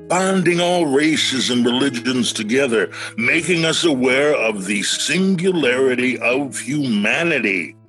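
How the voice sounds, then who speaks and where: A man's voice: mid-range voice, fairly bright tone. An actor playing an eccentric, philosophical man who is cheerfully weird, in a whimsical, musing radio play manner. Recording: home-recorded virtual radio play.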